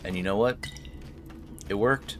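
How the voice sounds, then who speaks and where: A man's voice: on the low side, unhurried, a little hiss or hum. A man hosting a gaming video with casual, joking commentary and wry, playful banter. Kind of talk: casual joking let's play commentary, reading game text aloud.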